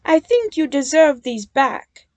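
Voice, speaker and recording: A woman's voice: high voice, big pitch swings, slow, darkish tone, dry recording, pitched above the performer's own voice, heavily acted. Woman doing a teasing, knowing lady engine. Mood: stern. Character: female engine, clever and teasing.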